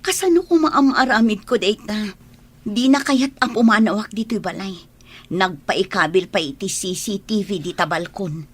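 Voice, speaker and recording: A woman acting a long-suffering, gentle wife and older sister in a radio drama. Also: medium pitch, normal speed, slightly rough, close to an everyday voice. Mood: troubled, confiding.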